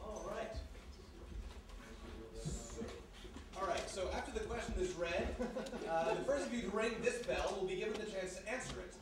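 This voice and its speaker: Very echoey, high-voiced male radio announcer. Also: expressive, normal speed, long pauses. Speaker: announcer and quiz host. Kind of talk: live old-time radio show announcing. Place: live performance before studio audience in historic house. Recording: very echoey, noisy, distant live room.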